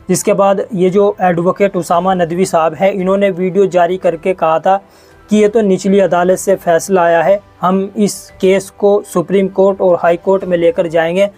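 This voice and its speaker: Man, high voice, informal news narration, explanatory, rephrases points, faint music bed. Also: a little mellow. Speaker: news channel presenter. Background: background music.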